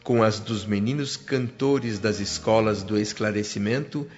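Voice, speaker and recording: An actor playing a reverent, awed spirit who narrates life in his colony in a scripted radio drama, with measured storytelling. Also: lowish voice, natural voice. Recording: dull, lo-fi sound with music.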